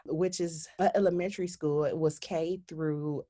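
A woman speaks in a very low voice. She sounds earnest and reflective as she tells her story. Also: steady tone, moderate pace, warm tone. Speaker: guest. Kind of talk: interview storytelling.

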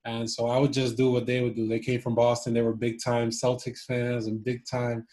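A man in his twenties speaks as a guest in a casual interview. His voice is soft-edged and mellow, at an average pitch with little rise and fall. He is thoughtful and a bit hesitant, feeling his way through his answers. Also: monotone, normal speed. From Cuba and Miami. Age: 20s. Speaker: interview guest, former basketball player. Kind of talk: casual reflective interview chat.

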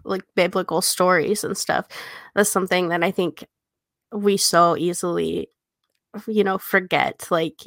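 A woman with a slightly low voice chats as a podcast co-host. She thinks aloud in an informal, tentative, conversational manner. The recording is very dry, without room echo. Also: a bit bright. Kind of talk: casual chat.

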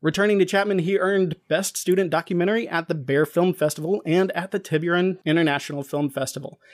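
Man, fairly high voice, podcast interviewer, earnest, formal, scripted read-aloud. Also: steady tone, fast.

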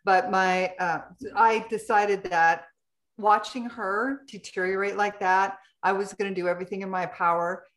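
A woman's voice: mid-range voice, steady tone, normal speed, a bit bright. Woman in her sixties. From Canada. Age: 60s. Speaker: interview guest, health and lifestyle advocate. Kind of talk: conversational interview answers.

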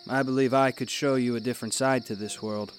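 Courteous, unflappable gentleman traveller acted by a man. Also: mid-range voice, fairly even intonation, faint music behind, close to an everyday voice. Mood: gentle, persuasive. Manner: polite, eloquent, measured. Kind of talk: radio drama acting.

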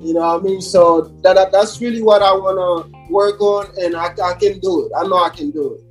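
A man's voice: high-pitched, fairly even intonation, a little fast, soft background music. A man from Haiti talking casually as a hip hop artist guest on a podcast, in slangy, repetitive, emphatic phrases.

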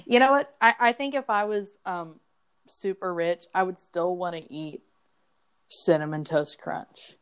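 A woman's voice: lowish voice, unhurried, clear, resonant tone. A woman co-hosting a podcast in casual chat, laughing often and speaking earnestly. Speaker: podcast co-host.

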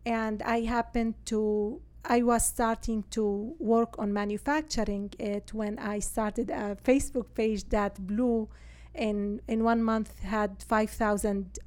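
A middle-aged woman from Syria with a Syrian Arabic accent, Arabic her first language, answering interview questions in an earnest, halting way full of fillers and restarts. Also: medium pitch, a little mellow. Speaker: guest, real estate agent.

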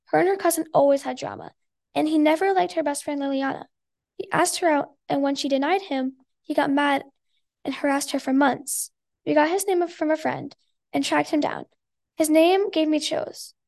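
High-pitched sixth-grade girl with creaky edges. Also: normal speed, darkish tone. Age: child, about eleven or twelve. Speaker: sixth grade student guest. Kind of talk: reading own story aloud.

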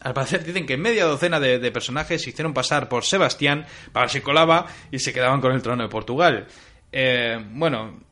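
A man co-hosting a history show, telling stories in a lively way with stammered restarts. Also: mid-range voice, a little fast. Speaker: history co-host. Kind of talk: casual history chat.

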